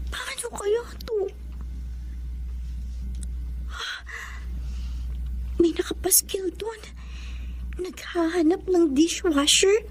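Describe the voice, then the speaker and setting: A woman voicing a young adult daughter in a radio drama who pleads with her mother and talks tearfully. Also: very high voice. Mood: weary.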